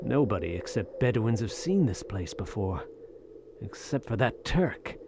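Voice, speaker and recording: An actor playing a bold, greedy Western soldier of fortune in an audio drama, speaking in halting, theatrical phrases. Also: male voice, fairly low pitch, very animated, creaky, noisy background, heavily acted. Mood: dismissive.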